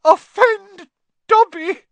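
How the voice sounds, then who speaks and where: A man voicing a small, servile, overwrought male house elf in a high-pitched, piercing audiobook character voice. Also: extremely slow, no echo, muffled recording, exaggerated voice. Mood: tearful wailing. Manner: emphatic, obsequious, talks in third person.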